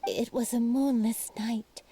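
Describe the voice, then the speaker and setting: A woman acts as a gentle old grandmother from a video game, speaking very slowly in a fairly high voice. She is frail and sorrowful, often sinking into loving, breathy whispers. There is faint noise behind her. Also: fairly even intonation, close to an everyday voice. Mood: haunted remembering. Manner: slow, halting, breathy whispered reminiscing.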